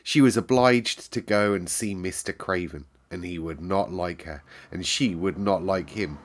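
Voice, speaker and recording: A man reading a classic novel aloud in calm, measured narration. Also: deep voice. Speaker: storyteller.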